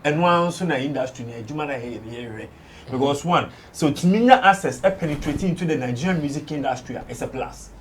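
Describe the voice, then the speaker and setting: A man's voice: fairly high pitch, quick pace. A man with a Ghanaian accent giving his views on a studio entertainment show, switching between English and Twi. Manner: mixes English with Twi, emphatic. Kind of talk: casual studio discussion.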